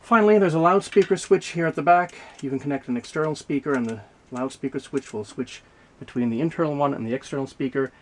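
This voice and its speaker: A man giving an unscripted, hands-on technical demonstration as a video host, explaining things in a measured, methodical way. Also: a little high. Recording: clear background noise, mechanical clicks.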